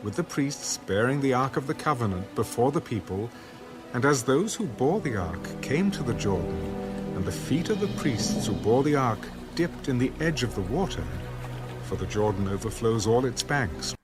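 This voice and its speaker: A male narrator reads scripture as a dramatic story. His mid-pitched voice moves with very lively intonation over a clear music bed. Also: normal speed. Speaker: Bible narrator.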